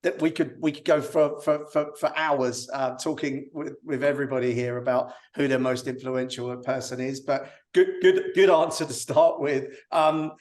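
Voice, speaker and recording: A man hosting an online panel casually, in a halting way that often repeats words. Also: medium pitch, steady tone, a little mellow. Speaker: panel host. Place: online video call.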